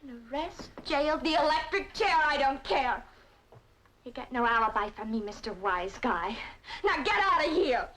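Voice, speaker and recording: A woman's voice: sits high, expressive, moderate pace, few pauses, a bit bright, a little hiss or hum. An actress playing a lonely, clingy, easily wounded girlfriend in an old crime drama, with an emotional, dramatic delivery. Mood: bitter, scornful. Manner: emotional, dramatic, swinging from cooing to scornful.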